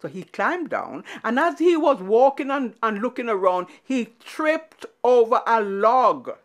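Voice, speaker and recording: A woman storyteller telling a tale to children in an animated, dramatic way, building through repetition and pauses. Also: a little high.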